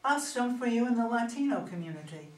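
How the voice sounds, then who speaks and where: A woman's voice: a little high, animated, a little fast, natural voice. A woman playing a smug, ambitious younger female politician in a play reading, self-assured and calculating. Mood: smugly confident. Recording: echoey room, distant, noisy.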